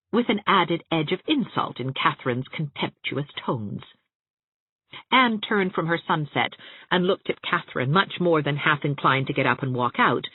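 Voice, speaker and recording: A woman narrating an audiobook in an expressive, scripted storytelling style. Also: low voice, slightly rough, dry recording, narrow, tinny audio.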